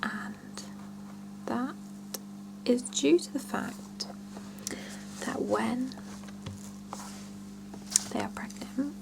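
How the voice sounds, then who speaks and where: A woman whispering softly in an ASMR roleplay as a salon scalp therapist, chatting and explaining gently and without hurry. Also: on the high side, drawn-out words, long pauses, low-quality recording. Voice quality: soft breathy whisper with creaky edges.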